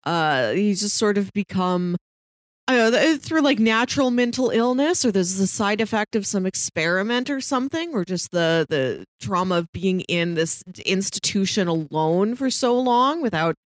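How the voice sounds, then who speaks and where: A woman's voice: medium pitch, animated, moderate pace, no echo, studio quality. A woman co-hosting a podcast, chatting casually and expressively about a TV show. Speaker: podcast co-host.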